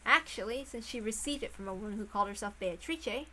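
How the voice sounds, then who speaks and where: A woman's voice: medium pitch, animated, fast talker, bright, forceful tone, a little hiss or hum. A woman reading a visual novel aloud as dramatic scripted narration.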